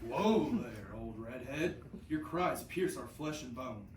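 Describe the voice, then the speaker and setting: A man's voice: mid-range voice, animated, slow, crowd noise. A teenage boy playing a weary, wry old hunting dog who grumbles, in a reader's theater reading. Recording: echoey noisy classroom, mic at a distance.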